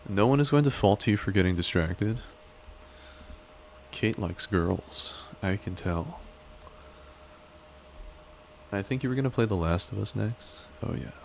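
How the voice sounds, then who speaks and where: A man chats on a live stream, wandering from joke to joke and teasing himself along the way. His voice sits very low. A faint hiss runs under the recording. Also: animated, moderate pace, creaky, darkish tone. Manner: rambling, joking, self-deprecating. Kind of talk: casual live-stream chat.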